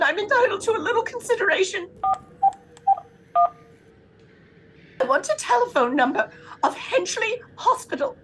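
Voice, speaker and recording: An actress playing a frantic, demanding invalid wife, agitated and imperious, in a suspense audio drama. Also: female voice, very high voice, a bit bright, slight background noise. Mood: demanding, nervous. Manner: agitated, imperious, breathless pleading.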